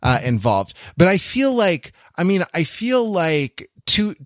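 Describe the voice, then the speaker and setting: A man co-hosting a casual discussion podcast, speaking in a halting, analytical way and often correcting himself. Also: high-pitched, big pitch swings, fairly bright tone, no room sound, studio quality. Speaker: podcast co-host.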